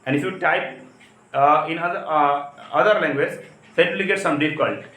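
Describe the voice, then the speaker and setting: A man from India with an Indian accent and Hindi as his first language, giving an explanatory English lesson as a teacher, with halting, repetitive phrasing. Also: on the high side, pushed and bright. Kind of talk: spoken lesson, explanatory talk. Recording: echoey, roomy sound.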